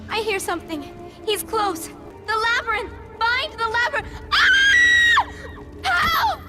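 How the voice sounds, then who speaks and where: Shrill, terrified woman in peril. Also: sing-song, slow, exaggerated voice. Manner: crying out, shrill. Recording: distant, loud music and crowd noise.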